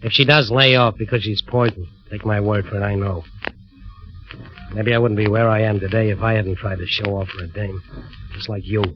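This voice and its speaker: An actor playing a worldly gangster posing as a friendly prankster, casual and coaxing with tough slang, in a vintage radio drama. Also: male voice, lowish voice, steady tone, normal speed, faint music behind, close to an everyday voice. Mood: knowing, guarded. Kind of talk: 1940s-50s radio drama acting. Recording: dull vintage radio recording.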